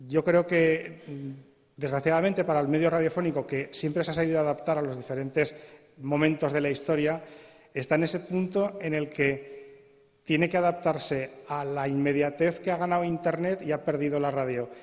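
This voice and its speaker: A man speaking on a congress panel, explaining and arguing his points in a measured way with stress on key words. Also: fairly high pitch, steady tone. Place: large indoor congress hall. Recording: dull, echoey hall recording.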